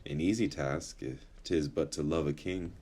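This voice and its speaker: A man's voice: rumbling low, moderate pace, a little mellow, husky. An actor playing a lusty, commanding bachelor king, teasing and imperious in theatrical Shakespearean dialogue. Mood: teasing, flirtatious.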